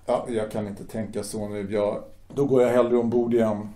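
An actor playing a young sailor who angrily refuses to join the party, indignant and emotional. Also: male voice, lowish voice, flat delivery, warm tone, roomy sound, lightly acted. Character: outraged young sailor brother, anti-fascist. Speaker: Stefan, younger brother. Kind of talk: amateur audio drama acting.

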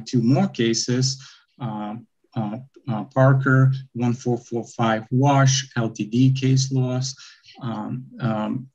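A young man with a Lithuanian accent arguing his own appeal over a video call, halting, with many fillers and restarts. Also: medium pitch. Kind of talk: remote oral argument before a court. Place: bright indoor room, video call. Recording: dull lo-fi video call audio.

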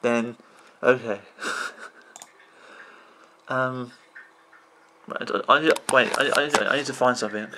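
A man in his thirties with a croaky voice, rambling off the cuff as he vlogs about video games at his computer, full of restarts and "you know"s. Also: mid-range voice, measured pace, long pauses, pushed and bright, slight background noise. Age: 30s. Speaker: video game vlogger. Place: indoors at a computer.

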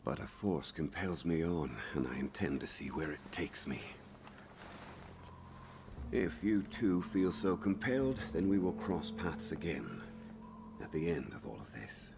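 A wandering old-guard hunter in a video game, solemn and courteous. His voice is deep and gravelly, with formal phrases delivered slowly over music. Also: very animated, background noise, heavily acted. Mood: resolute, grave. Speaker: veteran hunter character. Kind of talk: scripted video game cutscene dialogue. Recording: music under the voice.

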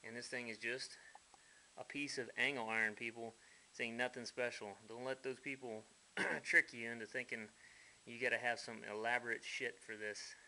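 A man's voice: medium pitch, steady tone, moderate pace, pushed and bright, a little hiss or hum, poor audio. Blunt folksy man in his workshop. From United States. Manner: blunt, folksy, mildly profane. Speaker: hobbyist builder. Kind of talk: casual workshop walkthrough. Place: dim garage workshop.

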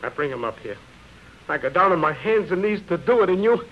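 A fed-up show-business agent in an old radio play. He is blunt, streetwise and emphatic, with a highish voice that swings widely, on a dull recording. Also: big pitch swings, brisk. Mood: exasperated. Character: blunt, exasperated male theatrical agent, worn out and scathing. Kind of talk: 1930s radio drama acting. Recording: muffled, noisy vintage radio recording.

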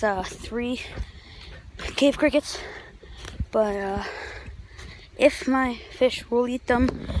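A teenage boy vlogging outdoors in the woods on a handheld phone, chatty and excitable, cursing under his breath as he works. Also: very high voice. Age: teens. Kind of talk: casual outdoor vlog. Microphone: handheld phone camera. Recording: loud outdoor noise on phone.

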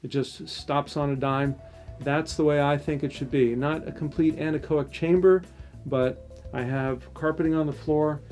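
A middle-aged man, a loudspeaker designer, casually explaining his home listening room, hesitant with restarts. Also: fairly high pitch, steady tone, normal speed, over music. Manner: hesitant, many fillers and restarts, technical. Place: home listening room, carpeted and acoustically dead.

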